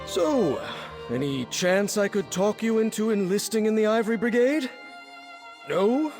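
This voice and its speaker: A man's voice: high voice, expressive, unhurried, over music. A man voicing a friendly, earnest young soldier in a video game, speaking informally. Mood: hopeful, grateful. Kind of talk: scripted video game dialogue.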